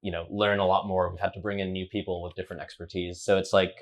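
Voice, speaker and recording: A man in his thirties, a DJ and festival co-founder, talking in a relaxed, measured way with frequent fillers. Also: sits low. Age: 30s. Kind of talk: casual interview chat.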